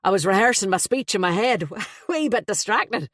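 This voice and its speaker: A woman's voice: medium pitch, animated, normal speed, no room sound, clean studio sound, close to an everyday voice. A woman voicing a big, jovial, chatty Irish man with a hearty, slangy, emphatic manner in an audiobook story.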